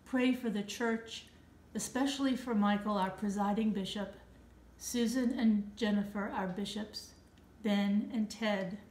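An older woman leading the prayers of the people in a slow, measured reading. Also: mid-range voice, steady tone, a little mellow, roomy sound, poor audio. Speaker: prayer leader. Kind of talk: liturgical reading.

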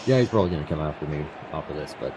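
A man with a slightly raspy voice as color commentator on a video game match, casual and quick to react. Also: very deep voice, lively intonation, a little mellow, a little hiss or hum.

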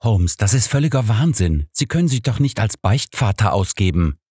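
A man's voice: fairly low pitch, big pitch swings, normal speed, mellow, husky, no room sound, studio quality, voice pushed up. A man voicing a detective's loyal, easily indignant companion, earnest and protesting, in an audiobook. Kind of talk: audiobook character dialogue.

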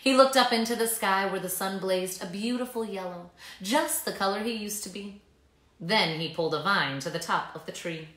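A woman's voice: medium pitch, moderate pace, a bit bright. A woman in her thirties reading a children's story aloud in a dramatic, emphatic way in a living room. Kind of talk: expressive children's read-aloud. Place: small living room, indoors. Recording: echoey room sound.

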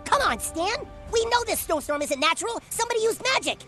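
High-voiced cartoon kid, earnest and pushy, game score behind. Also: lively intonation, a little fast. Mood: accusing. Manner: accusing, earnest. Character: earnest cartoon boy confronting a friend. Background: game music.

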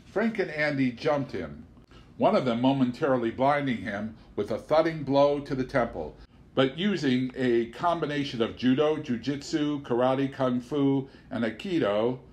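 A man's voice: mid-range voice, a little slow, a little mellow. A man reading a comic literary novel aloud as scripted audiobook narration. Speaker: audiobook reader.

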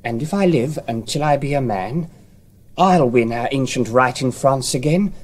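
An actor playing an earnest, proud young prince in a Shakespearean audio drama, formal and declamatory. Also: male voice, mid-range voice, expressive, normal speed, a little mellow, lightly acted. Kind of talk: Shakespearean radio drama acting.

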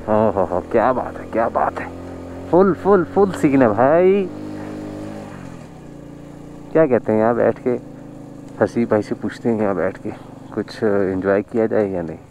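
A man's voice: on the low side, mellow, a little hiss or hum, low-quality recording. A man chatting casually while riding a motorbike outdoors on a snowy mountain road, marveling at the scenery and chuckling often. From Pakistan. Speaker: vlogger. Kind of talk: casual motorbike ride commentary.

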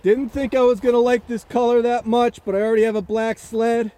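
A man's voice: very high voice, warm tone. A man giving an enthusiastic, plainspoken review outdoors on a snowy farm, talking casually and a bit rambling. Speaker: snowmobile reviewer.

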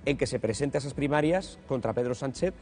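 A man, putting brisk political questions as a television host. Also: mid-range voice, darkish tone. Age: 30s. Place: television studio.

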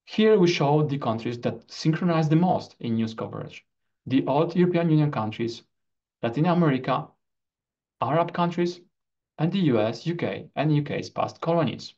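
Male researcher, fairly high voice, formal scripted presentation read deliberately, dull computer-mic sound. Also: expressive, darkish tone. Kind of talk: scripted academic video presentation.

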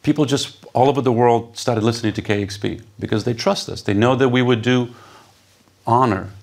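Gravelly man telling stories on stage. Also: medium pitch, a little fast. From Poland. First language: Polish. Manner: animated, gesturing, conversational with many fillers. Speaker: radio DJ giving a stage talk. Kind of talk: storytelling talk on stage. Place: stage before a seated audience in a dark hall.

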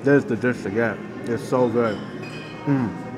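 A man's voice: medium pitch, measured pace, music in the background. Man eagerly tasting food on camera. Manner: enthusiastic, savoring each bite with "mm". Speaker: food vlogger. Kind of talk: casual food vlog narration. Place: roadside restaurant in a hot desert town.

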